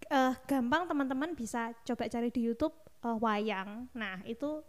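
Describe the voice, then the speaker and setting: A woman's voice: on the high side, moderate pace. A woman with a Javanese accent, hosting a casual discussion and explaining things to listeners conversationally. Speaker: podcast discussion host.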